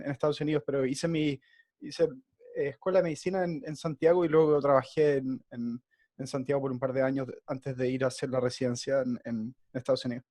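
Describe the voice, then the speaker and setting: Man, Chilean accent, higher-pitched, dry close sound, hesitant phrasing, guest doctor on video call. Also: darkish tone, no room sound. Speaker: guest speaker, emergency physician. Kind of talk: semi-formal video call talk.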